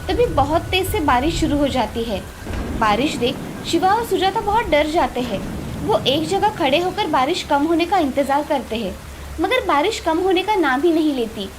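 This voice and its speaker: A woman narrating a scripted moral story as a storyteller. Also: high-pitched. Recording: clearly noisy, with ambience behind.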